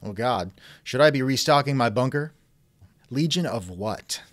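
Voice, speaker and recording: Male host for chat interview: mid-pitched, very expressive range, wry doubter. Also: measured pace, dry recording, clean studio sound. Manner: wry, skeptical. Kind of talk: reenacted text-chat interview, conversational.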